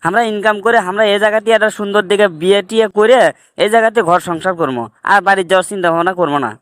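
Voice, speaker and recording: A fed-up cartoon migrant worker, acted out by a man with a notably high voice. He speaks northern Bangladeshi dialect and presses his grievances emphatically, like a friend with a scheme. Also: rapid-fire, fairly bright tone, smooth, no room sound, studio quality. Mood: scheming, resolute. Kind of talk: comic cartoon dubbing in colloquial dialect.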